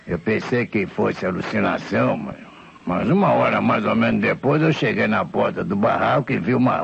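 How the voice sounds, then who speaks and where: An actor playing an old, tipsy Brazilian vagabond in a radio mystery serial, husky and stammering as he rambles humbly. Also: on the low side, full character voice. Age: older. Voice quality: gravelly, husky. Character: old tipsy male vagabond beggar, frightened, insistent witness. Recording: muffled vintage radio drama recording.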